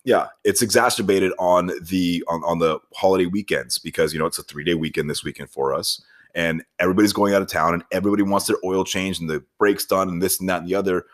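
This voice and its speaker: An American man with a low voice talks quickly on a casual podcast. He sounds exasperated as he spins anecdotes and plays out conversations. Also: fairly even intonation. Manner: exasperated, acts out customer exchanges. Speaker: podcast co-host; auto shop worker.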